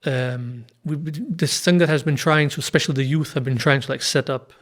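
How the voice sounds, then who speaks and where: Soft-trailing man in his thirties. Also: fairly high pitch, a little fast. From Denmark. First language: Punjabi. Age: 30s. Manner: thoughtful, frequent fillers, trails off softly. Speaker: guest author. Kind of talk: casual conversational interview.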